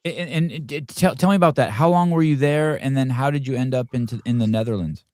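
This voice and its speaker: A man's voice: medium pitch, quick pace, a little mellow, no echo, clean studio sound. A man whose first language is Armenian, hosting a casual interview and asking curious questions with repeated stammered words. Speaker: podcast host.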